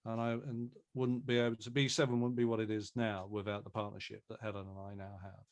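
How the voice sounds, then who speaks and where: A man, an audio drama producer, telling an anecdote in a halting way with wry understatement on a group interview call. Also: fairly low pitch, level tone, highs cut off. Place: remote video call.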